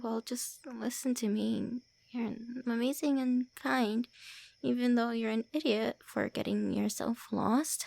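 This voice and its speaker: A bashful tsundere vampire lady, voiced by a woman in an ASMR roleplay, stumbling over her words and teasing the listener. She speaks in a higher, gravelly whisper and takes her time. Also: darkish tone, no room sound. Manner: whispery, stammering, brusque teasing. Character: tsundere female vampire lord, centuries-old, looks early twenties, flustered, insulting.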